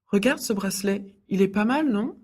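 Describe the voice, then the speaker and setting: A woman speaking softly and hesitantly in an everyday dialogue for learners. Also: mid-range voice, moderate pace, breathy edge.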